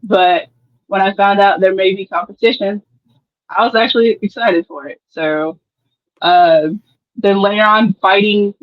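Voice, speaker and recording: A woman's voice: medium pitch, fairly even intonation, measured pace, dry recording, dull audio. A woman wrestler from Dallas, confidently talking up her matches. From Dallas, Texas. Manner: confident, tough talk. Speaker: professional wrestler guest. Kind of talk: casual interview chat.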